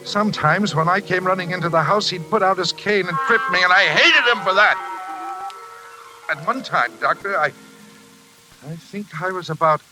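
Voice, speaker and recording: A man's voice: high voice, normal speed, music in the background, natural voice, low-quality recording. An actor with an American accent playing a troubled, intense psychiatric patient confessing his past in a 1940s radio drama. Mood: haunted, reminiscing. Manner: confessional, melodramatic.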